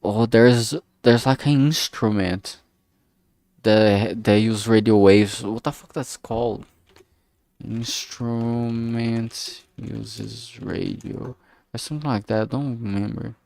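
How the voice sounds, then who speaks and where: A man's voice: fairly low pitch, steady tone, slow, creaky, darkish tone, dry recording. A man chatting casually on a livestream, thinking aloud in a hesitant, musing way and often trailing off mid-thought. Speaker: streamer making a visual novel.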